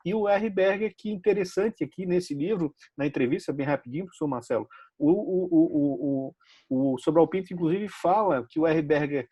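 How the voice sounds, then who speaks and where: A Brazilian man giving recommendations on a talk show, halting and repeating words as he speaks. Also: fairly high pitch, warm tone, husky, no room sound. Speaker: regular guest giving tips. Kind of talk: casual discussion show.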